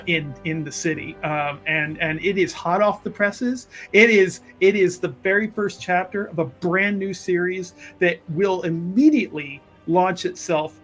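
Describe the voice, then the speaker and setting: Male game narrator, slightly high register, casual and emphatic, faint music underneath. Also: fairly bright tone.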